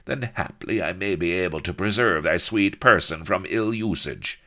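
A man's voice: low-pitched, big pitch swings, normal speed, fairly bright tone, heavily acted. A man voicing a roguish, smirking Irish adventurer with a wry, wheedling manner in a comic story. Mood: sardonic scheming.

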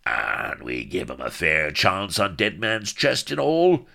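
A man's voice: deep voice, very animated, takes it slowly, sharp tone, heavily acted. A man voicing a burly, childish pirate who blusters. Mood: aggrieved bluster. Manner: bellowing, rustic, aggrieved.

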